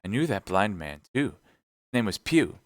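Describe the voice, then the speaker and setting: A man's voice: lowish voice, sing-song, moderate pace, dry recording, clean studio sound. A man voicing an eager, polite, earnest cabin boy in a storybook adventure.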